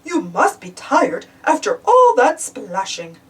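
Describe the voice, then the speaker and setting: A woman voicing a genial father pig in a storybook, with a gently firm parental manner. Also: high voice, wildly swooping pitch, slow pace, husky, far from the mic, heavily acted, poor audio.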